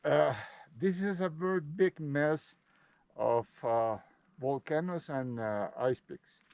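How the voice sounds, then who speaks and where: A man's voice: on the high side, slow pace, vocal fry, darkish tone, no room sound. A man from Colombia, chatting casually with a traveler by the roadside in friendly, hesitant English full of fillers. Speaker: fellow traveler. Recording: dull lo-fi sound.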